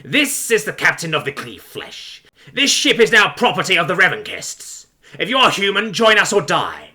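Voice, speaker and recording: Man putting on a booming space orc pirate captain. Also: wildly swooping pitch, moderate pace, bright, forceful tone, heavily acted. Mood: menacing. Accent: mock Cockney. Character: brutish pig-nosed space orc pirate captain, menacing bigot.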